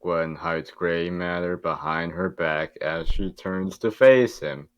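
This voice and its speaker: A man's voice: sits low, takes it slowly, clear, resonant tone, no room sound. A man reading stage directions aloud as narrator in an amateur table read.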